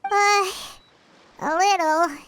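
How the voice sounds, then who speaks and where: Tiny game fairy sidekick: extremely high, squeaky, childlike, anxious and earnest. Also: female voice, very slow, fairly bright tone, slight background noise, heavily acted. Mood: nervous. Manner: speaks of self in third person. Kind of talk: video game voice acting.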